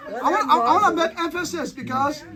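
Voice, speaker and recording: High-voiced Liberian man, loud and animated. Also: a little fast. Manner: loud, animated, laughing, repeats himself. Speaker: guest speaker from Dallas. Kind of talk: excited, joking speech. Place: outdoor community barbecue. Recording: noisy crowd all around. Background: crowd chatter.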